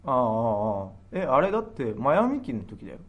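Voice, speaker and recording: A man's voice: medium pitch, sing-song, brisk, dull audio. A young man co-hosting a radio show with casual, teasing, cocky comedic banter.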